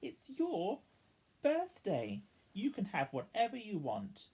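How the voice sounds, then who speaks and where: A woman voicing a brisk, firm but indulgent mother elephant in a children's storybook. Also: mid-range voice, huge pitch swings, mellow, husky, pitched above the performer's own voice, full character voice. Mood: fond.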